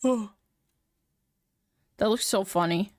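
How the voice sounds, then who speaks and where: A woman's voice: medium pitch, extremely slow. A young woman streaming, chatting casually and rambling with lots of fillers as she works on her model. She has a feminine voice. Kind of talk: casual chat while working on a model.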